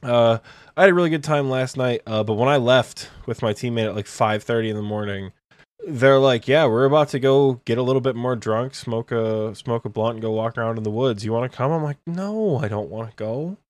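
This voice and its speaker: A man in his twenties telling a story on a podcast, animated and casual, with swearing. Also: mid-range voice, brisk, dry recording. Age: 20s. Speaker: podcast co-host.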